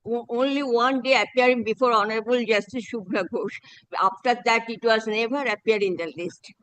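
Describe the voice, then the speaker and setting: Indian man as counsel, high pitch, dry pickup, formal deferential courtroom request. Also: steady tone, normal speed, bright, forceful tone, smooth, dry recording, low-quality recording. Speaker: lawyer.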